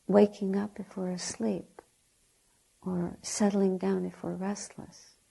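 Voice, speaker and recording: A woman teaching guided meditation in a soft, breathy, gentle way, speaking in short deliberate phrases with long pauses. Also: mid-range voice, low-quality recording. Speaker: Buddhist nun, meditation teacher.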